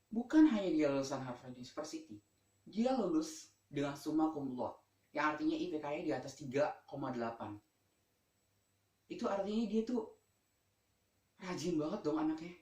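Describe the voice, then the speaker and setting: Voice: medium pitch, animated, normal speed, long pauses, a little mellow. A teenage student presenting a school vlog informally and emphatically, often putting questions to the listener. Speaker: student vlogger. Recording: echoey room.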